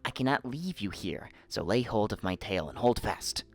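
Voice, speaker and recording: A man's voice: fairly low pitch, lively intonation, moderate pace, soft background music. A man voicing a cunning old fox who gives wise, emphatic counsel in a storybook reading. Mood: grave counsel. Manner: instructing, emphatic.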